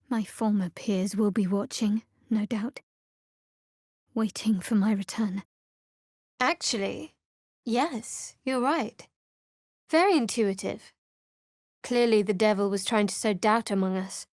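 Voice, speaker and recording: A woman's voice: medium pitch, no echo, clean studio sound, lightly acted. A woman playing a guarded, sardonic young female cleric in a fantasy video game, speaking in a cool, wry, clipped manner. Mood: guarded, low-key.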